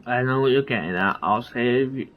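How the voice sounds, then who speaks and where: A man's voice: mid-range voice, normal speed, noisy background. Hesitant male gamer on a dull mic. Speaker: game streamer playing along. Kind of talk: casual gameplay commentary.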